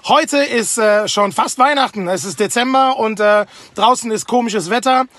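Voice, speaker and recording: A man's voice: high-pitched, normal speed, fairly bright tone, dry recording. A man in his thirties, a guest musician, giving a jolly, joking greeting into a phone held at arm's length in a car. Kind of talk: casual greeting message. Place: inside a car.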